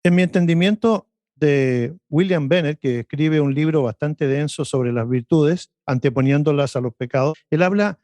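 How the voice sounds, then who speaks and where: A man on a radio panel, explaining history and faith in a measured, professorial way. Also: on the high side, darkish tone, no echo. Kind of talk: lecturing faith discussion.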